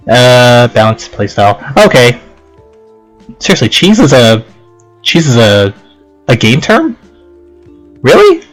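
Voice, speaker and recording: A man's voice: on the high side, poor audio. Casual male let's play commentator over music. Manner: rambling, reacting aloud with puzzled questions. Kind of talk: casual gaming commentary, reading game text aloud. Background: game music.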